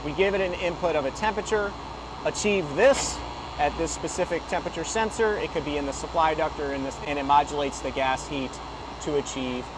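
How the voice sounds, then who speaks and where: A man with a fairly high voice explains equipment controls in a loose, conversational way, often stopping to rephrase. He is recording on a phone outdoors beside a working unit. The steady hum of a compressor and fans is clearly heard under his voice. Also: brisk. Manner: casual, explanatory, with frequent "you know" and self-corrections. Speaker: HVAC equipment rep giving a tutorial. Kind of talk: unscripted technical walkthrough. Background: running compressor and fan hum.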